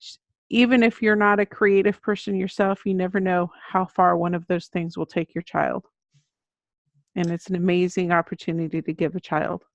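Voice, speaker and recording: A woman's voice: fairly low pitch, level tone, moderate pace, vocal fry, dry recording. A middle-aged woman giving conversational answers as an author guest in an interview. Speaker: guest, children's book author. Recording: dull lo-fi audio.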